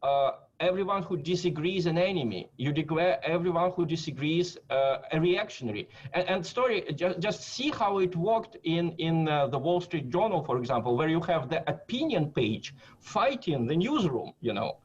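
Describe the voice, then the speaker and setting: A man with a fairly high voice, arguing as a guest commentator on a political panel. He speaks emphatically but haltingly, repeating phrases as he builds a point. The sound is somewhat dull and lo-fi, as over a remote call. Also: lively intonation. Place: remote call for a quarantine show. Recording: dull lo-fi connection.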